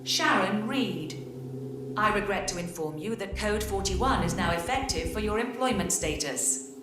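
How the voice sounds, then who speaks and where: A woman's voice: medium pitch, expressive, moderate pace, noisy background. A woman voicing an impersonal game announcer who delivers formal notices, echoing over music. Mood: formal, detached. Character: impersonal female announcer issuing termination notices. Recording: very echoey, music behind.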